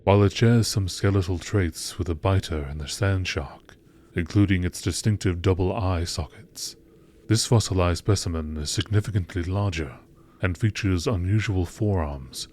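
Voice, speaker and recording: A man reading scripted scientific entries in a measured, matter-of-fact nature documentary style. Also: sits low, darkish tone. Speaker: narrator reading game lore entries.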